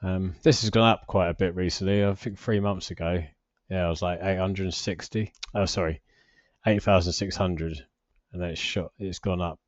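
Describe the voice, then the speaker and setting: A man's voice: deep voice, fairly even intonation, normal speed, dry recording, muffled recording. A British man in his forties giving a casual, unscripted finance vlog commentary in a hesitant, rambling, self-deprecating way. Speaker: personal finance vlogger.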